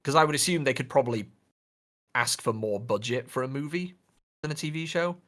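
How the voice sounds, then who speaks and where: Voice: medium pitch, fairly quick, fairly bright tone. A podcast co-host chatting casually in a playful, rambling way. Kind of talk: casual chat.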